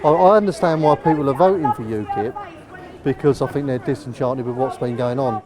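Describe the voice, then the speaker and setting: A man's voice: mid-range voice, fairly quick. Hesitant man among a noisy crowd. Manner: hesitant, considered. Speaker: passer-by.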